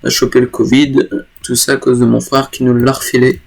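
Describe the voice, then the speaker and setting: A man at home giving loose, joking commentary over a game, often stopping to correct himself. His voice sits at an ordinary pitch with a lot of gravelly fry. A cold leaves it stuffy. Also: little pitch movement, a little fast. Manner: rambling, self-correcting, joking. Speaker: gaming YouTuber. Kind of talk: casual let's play commentary.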